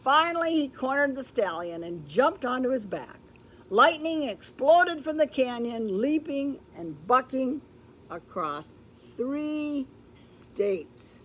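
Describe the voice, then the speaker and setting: An older woman reading a children's story aloud in a deliberate, expressive way, with explanatory asides. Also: sits high, slight background noise. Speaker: storybook reader. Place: indoor room with books, like a classroom or library.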